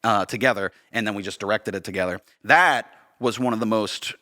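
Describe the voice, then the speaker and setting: Bright-voiced man, enthusiastic podcast guest. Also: fairly low pitch, fairly quick. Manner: enthusiastic, emphatic. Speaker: podcast guest, theater director and actor. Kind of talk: casual podcast chat.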